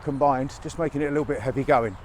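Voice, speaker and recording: A man's voice: mid-range voice, quick pace. A man on a walking vlog, chatting casually as he goes, a bit chesty from a cold and slightly out of breath, on a chest-worn mic outdoors. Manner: chatty, wry, a little out of breath. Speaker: walking vlogger. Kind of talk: casual walk-and-talk narration. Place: outdoors by a busy motorway in winter fields. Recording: outdoor mic with faint traffic noise.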